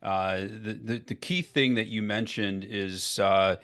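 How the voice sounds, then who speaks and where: A man with a fairly low voice hosts a vendor pitch session over a video call. His talk is casual and halting, full of "you know" and restarts. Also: steady tone, a bit bright, clean tone. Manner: filler-heavy, halting with many "you know"s. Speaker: webinar host.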